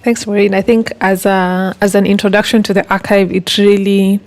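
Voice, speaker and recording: A woman giving thoughtful feedback in a casual discussion, haltingly picking her words. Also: medium pitch, little pitch movement, a little mellow. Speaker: workshop participant giving feedback.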